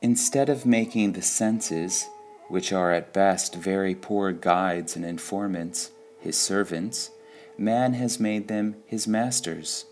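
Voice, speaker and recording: A man reading devotional sayings from a script in a measured, deliberate way, pausing between short phrases. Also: sits low, a little mellow, soft background music. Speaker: reader of spiritual quotations.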